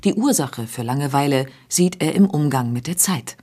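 A woman with a very low, husky voice reads feature narration. Her delivery is even and informative. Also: normal speed. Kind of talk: scripted narration.

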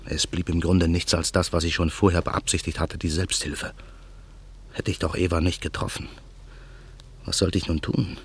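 A man's voice: deep voice, level tone, normal speed, slight background noise. A man narrating and playing Daniel, a bitter man set on revenge, in an earnest, brooding radio play voice. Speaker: narrator and protagonist.

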